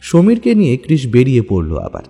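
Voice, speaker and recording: A man's voice: mid-range voice, wildly swooping pitch, a little fast, warm tone, breathy edge, long reverb tail, soft background music. A man narrating a scripted detective story in a dramatic style.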